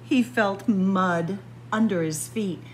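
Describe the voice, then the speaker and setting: A woman reading a picture book aloud to young children in an expressive manner, addressing them directly as friends. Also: mid-range voice, slow pace, slight background noise. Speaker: library story time reader. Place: indoors in a library, by bookshelves.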